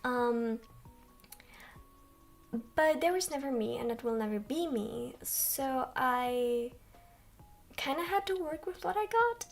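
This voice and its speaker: A young woman chats with her stream in a soft, quiet, high voice. She talks slowly and rambles, filling pauses with ums. She sits some distance from the microphone. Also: lively intonation, vocal fry, soft background music. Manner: slow, rambling, many ums. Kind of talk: soft-spoken livestream chat. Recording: distant from mic.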